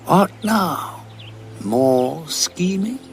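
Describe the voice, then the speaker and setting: Old male war veteran, British accent, creaky, dry sarcasm, lively rise and fall, audio drama. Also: fairly high pitch, drawn-out words, soft background music, heavily acted. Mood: wary. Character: weary, indignant old Time Lord warrior, sardonic and sentimental. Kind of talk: full-cast audio drama acting.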